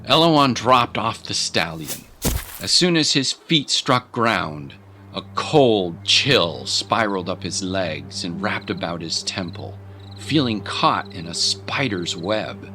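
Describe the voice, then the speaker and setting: Narrator: man, lowish register, dramatic storytelling read with clear music bed behind. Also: animated, slow pace, pushed and bright.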